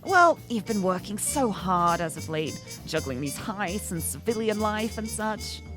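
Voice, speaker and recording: Brief: a woman doing a wry male mentor thief, dry and teasing, animated delivery. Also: mid-range voice, unhurried, music in the background, close to an everyday voice, low-quality recording. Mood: snarky. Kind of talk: visual novel character reading.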